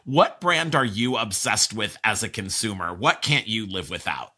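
A man's voice: lowish voice, animated, moderate pace, bright, forceful tone, husky. A man hosting an interview, putting conversational questions to his guest.

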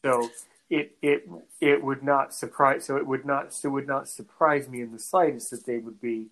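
A man from North America hosting a podcast interview, speaking in a halting way and often repeating words before finishing a thought. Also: mid-range voice, steady tone, a bit bright, rough recording. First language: English; French is his second language. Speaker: podcast host. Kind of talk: conversational interview.

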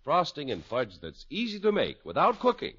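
A man delivering a polished, emphatic sales pitch as a 1950s radio announcer. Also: huge pitch swings, normal speed, pushed and bright, husky. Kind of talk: 1950s radio commercial read. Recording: muffled 1950s radio recording.

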